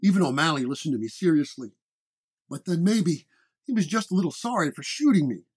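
Man as weary wounded male detective, dramatic first-person narration, higher pitch, 1930s radio drama. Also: expressive, brisk, darkish tone, husky. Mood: weary, reflective. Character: weary wounded Oglala detective dictating a case report. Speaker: narrator-performer.

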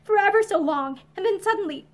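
A woman's voice: very high-pitched, expressive, brisk, pitched above the performer's own voice. A woman voicing an earnest, tearful young woman in a story, outspoken, with an emotional, pleading delivery. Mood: earnest, insistent.

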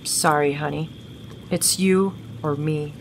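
A woman's voice: on the low side, moderate pace, a little hiss or hum, lightly acted. A woman voicing a cold, scornful female survivor in a horror story, dry and dismissive.

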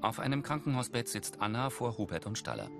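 A man's voice: mid-range voice, animated, fairly quick, vocal fry, over loud music. A man reading scripted audio description for a TV film in a matter-of-fact way.

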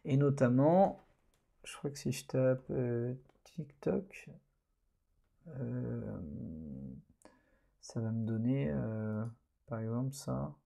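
Man, course instructor, mellow voice, relaxed and halting screen walkthrough. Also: medium pitch, vocal fry. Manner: relaxed, hesitant, many fillers. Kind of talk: screen-recorded tutorial narration.

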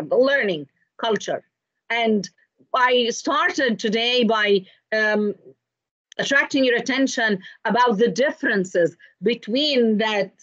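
A middle-aged woman from Egypt, giving an expressive, explanatory online lecture with anecdotes over a video call. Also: medium pitch, no echo. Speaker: lecturer presenting to teachers. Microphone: video call, computer microphone. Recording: dull, lo-fi video call audio.

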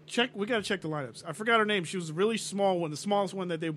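A man on a casual podcast, recalling things in a quick, rambling way. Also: sits high, fairly bright tone, slight background noise. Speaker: podcast co-host. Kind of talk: casual chat.

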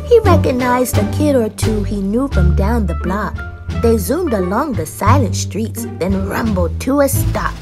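A woman reading a children's story aloud in an expressive narrating style. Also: medium pitch, measured pace. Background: prominent children's music.

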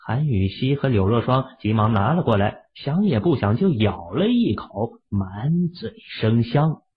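A man narrating a serialized audio drama in an expressive storytelling manner. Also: medium pitch, a little slow. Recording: tinny phone-like audio.

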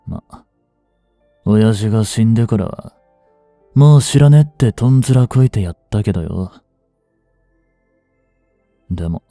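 Brash young male delinquent played by a man for audio drama: dark, mellow tone, swinging intonation, defiant and teasing. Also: medium pitch, dry recording, clean studio sound, natural voice. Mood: bitter, proud. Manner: brash, slangy tough-guy talk, drawling. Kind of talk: Japanese audio drama acting, one-sided dialogue to the listener.

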